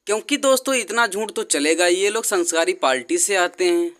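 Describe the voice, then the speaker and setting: A male host reads satirical scripted narration in a high-pitched voice. He presents it directly to the listener, like the narrator of a mock news roundup. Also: lively intonation, a little fast, a bit bright.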